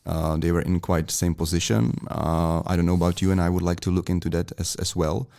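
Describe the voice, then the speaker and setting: Deep, flat-voiced male podcast host. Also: brisk, creaky. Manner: hesitant, many fillers like "like" and "uh". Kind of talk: casual interview questions.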